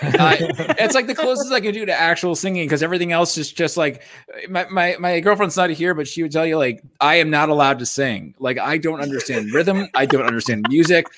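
A man's voice: on the high side, fairly quick, fairly bright tone. A man bantering casually on a group video call in a joking, self-deprecating way. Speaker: cast member of a table read. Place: indoor room, video call.

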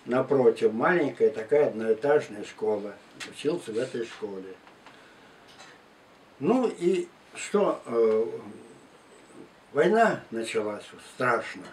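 An elderly man in his nineties tells his life story at an unhurried, halting pace, often restarting a phrase. His voice sits at an ordinary male pitch, picked up a little away from the mic with faint room noise behind it. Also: animated, distant mic, poor audio. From Tula region and Moscow outskirts, Russia. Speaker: war veteran interviewee. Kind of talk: recounting life story in an interview. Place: living room at home, indoors.

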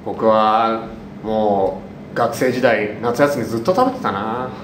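A man's voice: wildly swooping pitch, a little slow. A man in his thirties hosting a casual game show in a playful, teasing way. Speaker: quiz master on a web variety show. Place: small indoor studio, close to camera. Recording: noisy, echoey low-quality live webcast.

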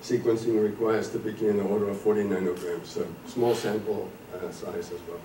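A middle-aged man with a low-set voice pitches a product from a podium in a conference room. His voice sounds roomy and distant from the mic, with a faint hum behind it. He clears his throat often and fills his pauses with sounds. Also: flat delivery. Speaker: startup presenter. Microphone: podium microphone.

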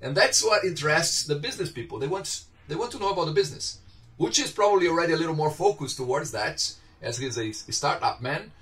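Middle-aged lecturer with a Brazilian accent. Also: male voice, fairly high pitch, lively intonation, fast, a bit bright. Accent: Brazilian Portuguese. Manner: conversational, questions students often, gestures while talking. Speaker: university lecturer. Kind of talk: informal online lecture. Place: home office with bookshelves. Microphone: webcam on a video call. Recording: slightly distant video-call audio.

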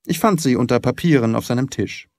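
A man playing a composed, shrewd gentleman detective in an audiobook, polite, precise and insistent. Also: medium pitch, a little fast, lightly acted. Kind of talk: audiobook character acting.

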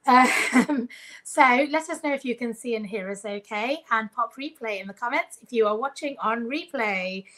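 A woman's voice: a little high, normal speed, bright, forceful tone, rough recording. Upbeat woman hosting a video call. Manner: friendly, upbeat, laughs often. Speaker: host. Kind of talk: live-streamed children's lesson interview. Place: home room.

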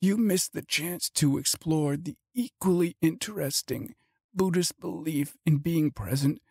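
A stern old male professor in an audiobook novel. His voice is husky and somewhat high, in a dry, close sound. He speaks very deliberately, as though each sentence were memorized. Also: a little mellow, dry recording, heavily acted.

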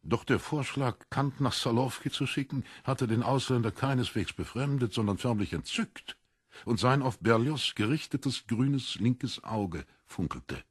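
Male narrator for literary audiobook: expressive, animated range, very husky tone. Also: mid-range voice, measured pace, no echo, clean studio sound.

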